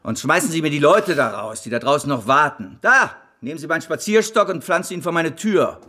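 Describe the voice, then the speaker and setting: An actor playing a self-assured, bossy financier of 1860s Paris in a historical radio drama, speaking grandly and briskly. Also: male voice, fairly high pitch, lively intonation, sharp tone. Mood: domineering.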